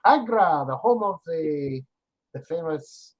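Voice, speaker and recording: A man's voice: fairly high pitch, lively intonation, slow pace, highs cut off. An older man with a Scottish accent, chatting as an online English tutor, explaining with examples and laughing easily. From Scotland. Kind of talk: casual conversation lesson.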